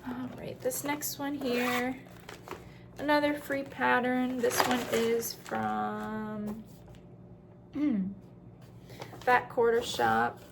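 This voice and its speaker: A woman's voice: fairly high pitch, extremely slow, gravelly, pauses often, noisy background. A woman chatting casually through a craft show-and-tell as host, in a rambling way with self-deprecating asides.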